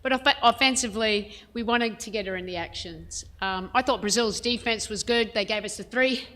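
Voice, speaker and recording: A woman head coach answering reporters' questions at a press conference, frank and measured, with plenty of "um" and "you know". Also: a little high, pushed and bright. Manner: frank, measured, many fillers.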